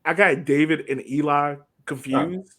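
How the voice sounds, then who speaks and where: A man hosting a soccer podcast in casual chat, informal and rambling as he thinks out loud. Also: fairly high pitch, measured pace.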